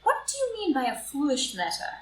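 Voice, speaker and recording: A woman acts out a strict, loyal society wife in a drawing-room comedy. Her formal, deliberate lines rise and fall widely in a fairly high voice. The live reading sounds echoey, with faint noise. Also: wildly swooping pitch. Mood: challenging. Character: upright, devoted, morally strict society wife. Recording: roomy, distant, noisy live group recording.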